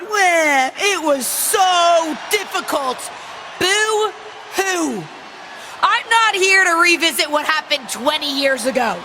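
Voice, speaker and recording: A woman's voice: very high voice, sharp tone, a little hiss or hum, heavily acted. A woman playing a defiant young wrestler in a video game, delivering an indignant, sarcastic and emphatic promo. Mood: mocking. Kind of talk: shouted wrestling promo acting.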